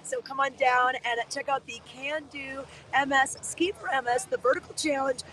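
A woman's voice: sits high, fairly even intonation, normal speed, a bit bright, poor audio. A young woman hosting an interview outdoors at a snowy ski base, holding a handheld mic and speaking in a bubbly, promotional way. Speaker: host. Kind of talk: upbeat event interview. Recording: faint outdoor ambient noise.

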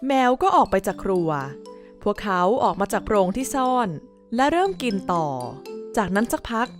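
A woman's voice: a little high, big pitch swings, normal speed, soft background music. A woman narrator reading a scripted bedtime story for children.

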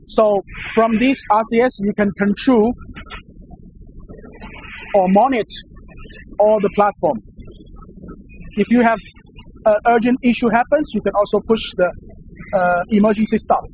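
A man in his thirties speaks accented English, Chinese being his first language, in a fairly high voice as he walks a visitor through a warehouse. He explains steadily but keeps repeating phrases and restarting mid-sentence, filling the gaps with "uh". A lapel mic catches him closely while a faint hum of machinery carries through the large indoor space. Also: fairly even intonation, a little slow, long pauses, darkish tone, rough recording. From China. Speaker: company presenter giving a warehouse tour. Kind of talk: guided facility tour explanation.

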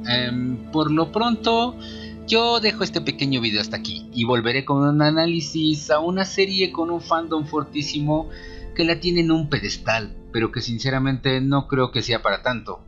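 Sarcastic Mexican man, higher-pitched voice. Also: lively intonation, normal speed, a bit bright, highs cut off, soft background music. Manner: sarcastic, slangy, opinionated. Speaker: video reviewer. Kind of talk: informal scripted film review voice-over.

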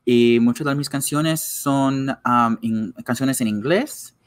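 A young man whose first language is English, talking in a casual interview to camera as a musician, halting with many fillers. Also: medium pitch, clear, resonant tone.

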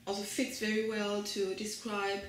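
Middle-aged woman prof talking slow n thoughtful, lots of ums, echoey room with a little hiss. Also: mid-range voice, monotone. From Germany. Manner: thoughtful, hesitant, many fillers and restarts. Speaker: translation studies professor, guest. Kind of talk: academic interview.

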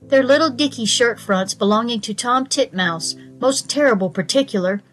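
A woman's voice: on the high side, moderate pace, soft background music, lightly acted. A woman voicing a stout little hedgehog washerwoman from a children's storybook, anxious and deferential, with servile, rustic politeness. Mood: busy, obliging. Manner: bobbing, servile politeness with rustic turns of phrase.

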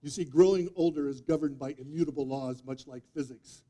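Mellow, higher-pitched man telling a tall tale. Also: brisk. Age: 50s. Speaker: speech contest speaker. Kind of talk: humorous tall-tale storytelling speech. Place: meeting room before a live audience, indoors. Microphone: distant audience camera microphone.

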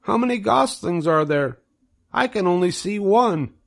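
A man's voice: high voice, lively intonation, measured pace, gravelly, pitched above the performer's own voice, full character voice. A man voicing an eager, naive young male pig in a storybook. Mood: excited.

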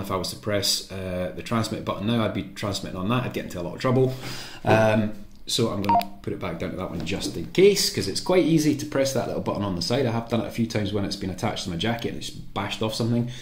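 A man talks through a practical demonstration in a casual, informal way. He explains step by step and often thinks aloud. His voice carries the echo of an indoor room. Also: on the low side. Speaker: presenter of a radio programming tutorial. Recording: echoey room sound.

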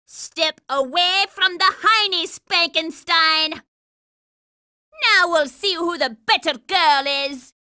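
Shrill, bossy cartoon girl. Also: slow, no room sound, clean studio sound, full character voice. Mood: fierce, commanding. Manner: brash, shouty, commanding. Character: bossy, hot-tempered young girl kid operative. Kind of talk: cartoon video game acting.

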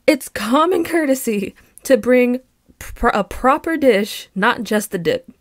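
A woman playing an affectionate, playful, excitable young girlfriend in an intimate roleplay audio, with a bubbly, teasing and pleading manner. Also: on the high side, slow pace, no room sound, clean studio sound. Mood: indignant, chatty.

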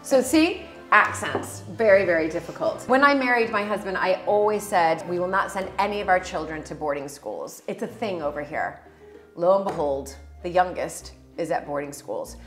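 A woman's voice: fairly low pitch, animated, normal speed, fairly bright tone, roomy sound, soft background music. An American woman in her forties hosting her own channel, chatting to camera from a farmhouse kitchen with a lapel mic, laughing and using lots of fillers. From United States. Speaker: channel host. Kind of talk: casual chat to camera.

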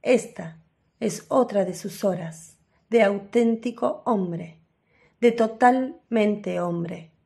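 Slow, measured female narrator. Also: fairly high pitch, gravelly, darkish tone. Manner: measured, deliberate, with pauses. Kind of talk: scripted narration, solemn devotional reading.

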